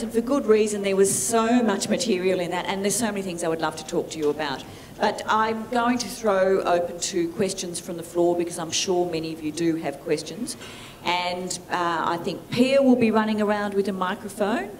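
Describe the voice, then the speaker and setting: A woman's voice: medium pitch, slight background noise, poor audio. Chatty woman moderating a conference. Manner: warm, chatty, restarts sentences. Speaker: event moderator. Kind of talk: moderating a panel Q&A. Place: large conference hall with audience. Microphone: handheld mic.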